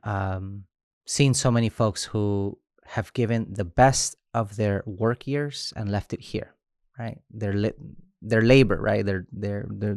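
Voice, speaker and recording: A man in his thirties from Mexico, an immigrant rights organizer speaking in an interview, halting with frequent restarts. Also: on the low side, a little mellow, smooth, no room sound, clean studio sound. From Chiapas, Mexico. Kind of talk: interview conversation.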